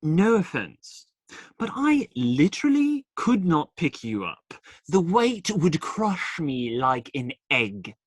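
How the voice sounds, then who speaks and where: A man plays a teasing outlaw in a radio play, tossing out cheeky banter with a widely swinging pitch. The delivery is unhurried and theatrical, recorded close and dry. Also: big pitch swings, no echo, full character voice. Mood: cheeky, incredulous.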